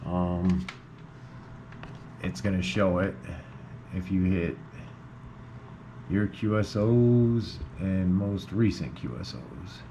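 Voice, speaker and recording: A man giving an informal, unscripted software walkthrough, explaining things step by step as a tutorial host. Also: low-pitched, slow pace, long pauses. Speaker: amateur radio tutorial host. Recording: clearly noisy background.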